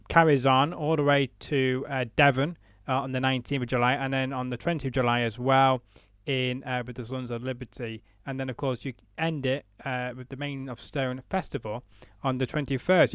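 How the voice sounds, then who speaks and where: Male host, casual questioner with frequent fillers; smooth, resonant tone, dry close recording. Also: medium pitch, fairly even intonation, normal speed, fairly bright tone, no echo. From United Kingdom. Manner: friendly, lots of "uh" fillers while reading dates. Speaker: interview host.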